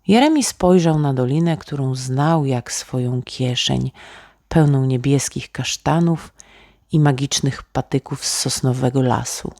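A woman storyteller narrating a bedtime tale for children in a gentle, expressive way. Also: very deep voice, unhurried, no echo, clean studio sound. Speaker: storyteller, author reading own tale.

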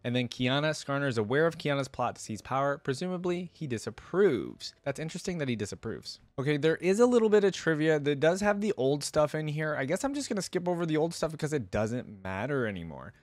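A man's voice: fairly high pitch, big pitch swings, fast, hardly any pauses, no room sound. Chatty young man, dry close sound. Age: young adult. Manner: chatty, informal. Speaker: reaction video host. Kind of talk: casual reaction commentary, reading aloud from a wiki. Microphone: desktop microphone close to the mouth.

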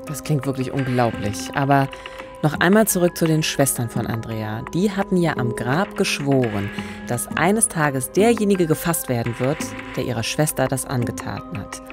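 A woman's voice: rumbling low, animated, moderate pace, music in the background. A woman in her thirties hosting a true-crime podcast, asking questions and reacting with interest into a studio microphone. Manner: engaged, asks questions, reacts with surprise. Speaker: podcast host. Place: small radio studio. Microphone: studio condenser mic, headphones.

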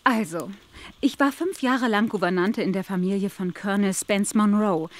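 An actress playing a polite, anxious young governess in a German audio drama, speaking in an earnest, deferential and neatly articulated way. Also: female voice, mid-range voice, moderate pace, close to an everyday voice.

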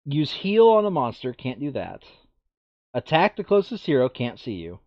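A man talks casually through a tabletop game, reading out rules and counts with very animated intonation. His voice is recorded dry on a dull, lo-fi mic. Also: medium pitch, normal speed, no room sound. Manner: reads out game rules and tallies. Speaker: board game player.

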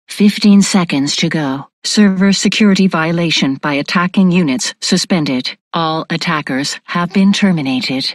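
Someone voicing a facility computer in a sci-fi shooter game, mid pitched, clipped n formal, no emotion, dry sound. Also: dry recording, close to an everyday voice. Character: impersonal computer announcer of a futuristic military facility. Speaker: game announcer. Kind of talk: scripted game announcements.

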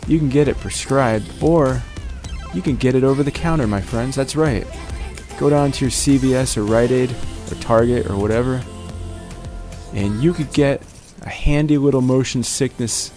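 A man in his forties giving playful gameplay commentary in a jokey morning-DJ manner, wandering off on tangents. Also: mid-range voice. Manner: jokey morning-DJ banter, calls viewers "baby" and "my friends". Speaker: gaming commentator.

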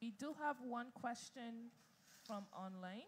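A woman's voice: a little high, takes it slowly, a little hiss or hum. A woman moderating a lecture who carefully reads out a question sent in by an audience member. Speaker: event moderator.

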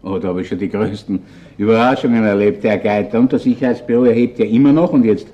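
A man acting a shrewd, chatty police chief inspector in a radio crime drama, with a Viennese accent and a deliberate, probing way of talking. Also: lowish voice, dark tone, lo-fi sound, close to an everyday voice. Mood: pointed, deliberate. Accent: Viennese Austrian. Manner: colloquial, deliberate, insinuating. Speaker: actor.

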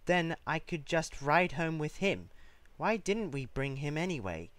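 A man's voice: a little high, lively intonation, a little slow, a bit bright, no echo, pitched above the performer's own voice. A man voicing a spoiled, impatient young pony girl in a whiny, snappy way for a story reading. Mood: sulky.

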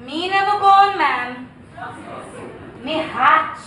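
An actress playing a brash young Jamaican maid, cheeky and blunt in patois, in a comic stage play. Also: female voice, very high-pitched, animated, drawn-out words, sharp tone, some chatter behind, full character voice. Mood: saucy, defiant. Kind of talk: comedic stage play acting. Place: theatre stage, far mic. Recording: echoey, noisy, distant theatre recording.

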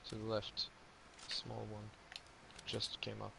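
A man's voice: fairly low pitch, monotone, takes it slowly, vocal fry, background noise. A man chatting with his squad while gaming, calling out positions and cursing. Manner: calls out positions, curses when shot. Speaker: teammate in a game.

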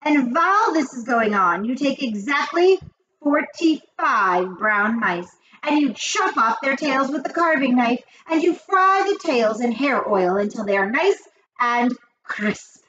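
Imperious, venomous, high-pitched witch. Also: lively intonation, fairly bright tone, highs cut off, a little hiss or hum. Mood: boastful, instructing. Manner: commanding, grandiose, drawn-out emphatic delivery. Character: imperious, venomous female witch leader, boastful and cruel. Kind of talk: storybook character voice.

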